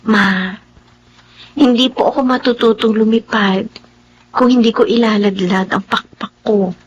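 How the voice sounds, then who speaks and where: A woman's voice: on the high side, steady tone, unhurried, dull audio, slight background noise, natural voice. An actress with a Filipino accent playing an earnest, anguished young daughter who defies her family, in a life-story drama. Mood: earnest, resolute. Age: young adult. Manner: emotional, pleading. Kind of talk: Tagalog radio-style life drama acting with narration.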